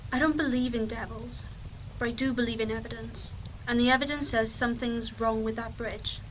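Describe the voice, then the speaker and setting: A woman's voice: a little high, fairly even intonation, brisk, distant mic, slight background noise, lightly acted, rough recording. A woman playing a dry, evidence-minded investigator in a fan audio drama, clipped and matter-of-fact. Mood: resolute.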